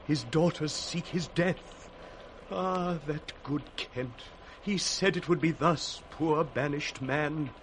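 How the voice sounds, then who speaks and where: Aged, loyal lord, sorrowful and measured, fairly high voice, faintly noisy vintage radio drama. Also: male voice. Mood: grief-stricken. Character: grieving, loyal old nobleman and father. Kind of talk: 1960s Shakespearean radio acting.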